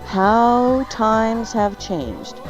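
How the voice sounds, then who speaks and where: A woman's voice: on the high side, extremely slow. A woman hosting a talk show, reading health news and sponsor spots in a playful manner. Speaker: show host. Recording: dull lo-fi audio with music behind.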